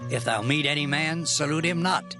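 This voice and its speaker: A man playing a solemn, commanding prophet in a dramatized scripture reading, with measured, declamatory delivery. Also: fairly high pitch, natural voice. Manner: measured, declamatory, archaic phrasing. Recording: music behind the voice.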